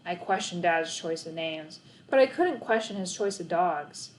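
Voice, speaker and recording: A woman's voice: lowish voice, lively intonation, unhurried, a little hiss or hum. Woman reading a children's story aloud. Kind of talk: expressive scripted children's book narration.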